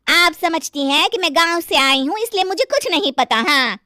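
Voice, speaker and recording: A woman voicing a fussy, coy young village daughter-in-law in an animated story, with drawn-out, whiny delivery. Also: very high-pitched, no echo, clean studio sound, full character voice. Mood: petulant, scornful. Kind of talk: Hindi animated moral story acting.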